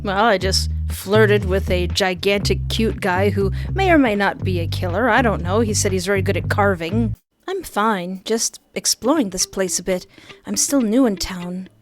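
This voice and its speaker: A woman's voice: medium pitch, normal speed. Playful woman narrating a game, music underneath. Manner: playful, expressive, giggly. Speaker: game playthrough host. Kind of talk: reading game narration aloud with casual reactions.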